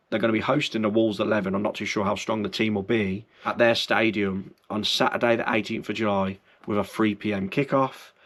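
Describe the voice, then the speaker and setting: A man runs through his club's latest news in a loose, chatty way, often stopping to correct himself. His fairly low voice sounds a bit croaky, as if he is under the weather. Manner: chatty, rambling, self-correcting. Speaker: football fan channel host. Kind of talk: casual fan news chat.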